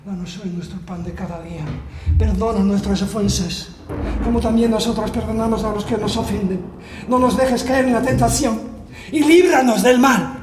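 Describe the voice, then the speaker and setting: An actress playing a frightened figure who prays and calls out in a haunted house in a radio drama. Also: female voice, a little high, a little fast, pauses often, close to an everyday voice. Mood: urgent prayer. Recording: echoey, with music behind.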